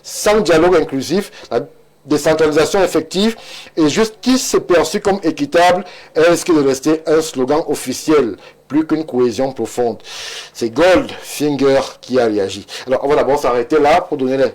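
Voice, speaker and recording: A man's voice: fairly high pitch, a little mellow. Man hosting a TV debate. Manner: measured, reads viewer messages aloud then moderates. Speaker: TV debate host reading viewer messages. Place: TV studio.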